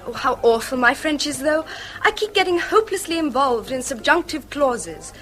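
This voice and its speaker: An actress with an English accent playing an excitable, gushing girl in her late teens in a radio drama. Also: high voice, normal speed, soft background music. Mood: excited, delighted. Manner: gushing, girlish. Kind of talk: British radio drama acting.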